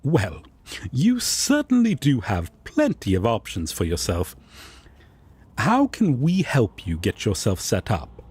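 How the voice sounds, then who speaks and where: A man's voice: medium pitch, huge pitch swings, takes it slowly, darkish tone, breathy edge, full character voice. A man playing a prim, devoted angel roommate in an audio roleplay, formal and polite but easily indignant and haughty toward his rival. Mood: flustered.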